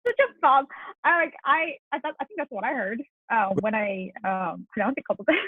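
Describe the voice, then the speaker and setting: A woman's voice: a little high, animated, fairly quick. A woman in her twenties from South Korea with a Korean accent, chatting casually as a podcast guest. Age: 20s. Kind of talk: casual chat. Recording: tinny, phone-like line, dry.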